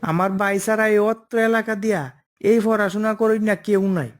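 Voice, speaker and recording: A man's voice: sits high, steady tone, fairly quick, natural voice. A man voicing a cocky, pushy office underling in a comic cartoon, wheedling and overconfident, with an eastern Bengali dialect.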